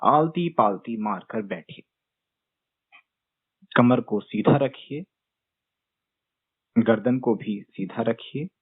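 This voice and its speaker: A man leading a guided meditation with gentle, unhurried step-by-step instructions and long pauses, as a teacher addressing a class. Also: mid-range voice, expressive, slightly rough, dry recording. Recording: dull phone-like narrow-band sound.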